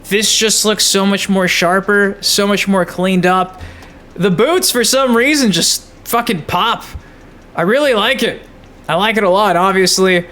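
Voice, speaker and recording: A man's voice: sits high, a little slow, sharp tone. A man giving casual, hyped gaming news commentary as a channel host, slangy and emphatic.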